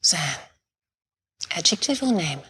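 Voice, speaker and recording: An actress playing a composed alien woman posing as a psychiatrist in a television series, speaking in a measured, deadpan way. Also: on the low side, breathy edge, heavily acted. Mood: coolly amused.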